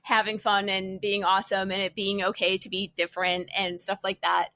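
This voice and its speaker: A woman talks casually as a podcast panelist. Her voice is bright and forward, recorded very dry with no room sound. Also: medium pitch, flat delivery, normal speed, dry recording. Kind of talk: casual chat.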